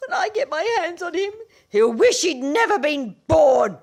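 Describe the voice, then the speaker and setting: High-pitched melodramatic scolding battle-axe wife. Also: female voice, sing-song, moderate pace. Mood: outraged, vengeful. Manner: indignant, theatrical, accusatory. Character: scolding, melodramatic married woman, a meddling battle-axe mother. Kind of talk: stage comedy performed as radio play.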